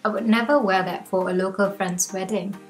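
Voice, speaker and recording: A woman's voice: a little fast, soft background music. Earnest young woman vlogging from home. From Malaysia. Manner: earnest and chatty, with lively rises in pitch. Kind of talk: talking to camera, personal reflection. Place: home room with bookshelves, indoors.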